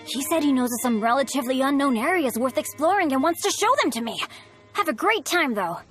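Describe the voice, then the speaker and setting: A woman's voice: sits high, animated, a little fast. A woman voicing a good-natured young adventurer in a video game, earnest, eager and friendly. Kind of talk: English video game voice acting. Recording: faint music behind the voice.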